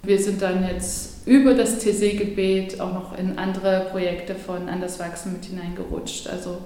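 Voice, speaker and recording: A woman from Germany answering interview questions about her church, thoughtful and pausing often with fillers. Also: fairly low pitch, level tone, mellow. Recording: very echoey room.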